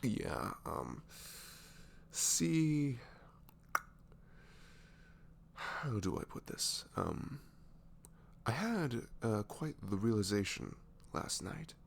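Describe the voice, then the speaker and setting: A man playing a goofy young best friend in an ASMR roleplay, halting and mock-professional. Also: medium pitch, big pitch swings, creaky, heavily acted. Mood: awkward, mock-formal.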